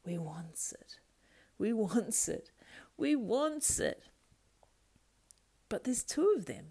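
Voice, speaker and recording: A woman reading a storybook aloud and voicing a wretched, greedy male creature who argues with himself in hissing whispers and high whines. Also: big pitch swings, slow pace, mellow, slightly rough, full character voice. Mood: arguing with himself. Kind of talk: audiobook character voice.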